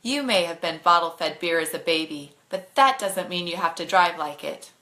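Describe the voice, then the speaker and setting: A woman voicing a feisty, sarcastic teenage girl in a bedtime storybook, indignant and sharp-tongued. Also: fairly low pitch, lively intonation, normal speed.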